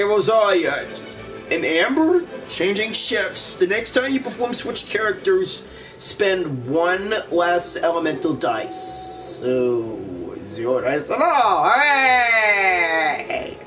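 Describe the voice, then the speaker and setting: Gaming host, male; high, bright, lively voice with an unhurried pace, excitable with sudden shouts, light music under. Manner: excitable, with sudden shouts and laughs at good plays; reads card text aloud in a plain, measured way. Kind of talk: casual gameplay commentary.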